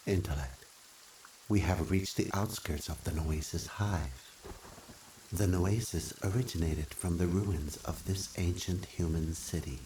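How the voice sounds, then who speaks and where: An actor voicing a calm, authoritative AI guide, giving a measured briefing in a video game. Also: male voice, deep voice, a little hiss or hum, poor audio. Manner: measured, formal. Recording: music behind the voice.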